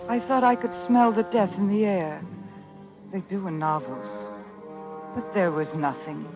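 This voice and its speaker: A woman acting a grieving, lovesick, anxious widow in old-time radio drama, with halting, melodramatic delivery. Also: low-pitched, dull audio. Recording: old radio recording with music. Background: dramatic music.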